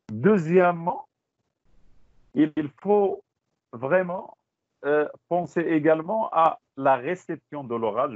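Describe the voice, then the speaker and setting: A Moroccan man whose first language is Tachelhit, giving a halting teaching talk on a video call, repeating words and slipping into Moroccan Arabic. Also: medium pitch, no echo. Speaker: teacher trainer. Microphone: computer mic on a video call. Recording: dry, phone-like call audio.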